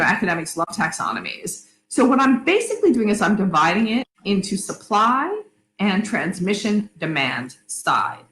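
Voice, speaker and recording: A woman's voice: mid-range voice, fairly bright tone. A middle-aged woman, an academic guest, talking conversationally and explaining things in lists and asides. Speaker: interview guest, academic and author. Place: indoor room on an online video call. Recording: echoey room, some background noise.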